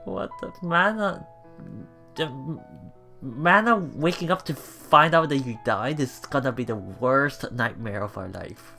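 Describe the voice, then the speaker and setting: A let's player reading a visual novel aloud in a halting way, with emotionally invested asides. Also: medium pitch, creaky, sharp tone. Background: game music.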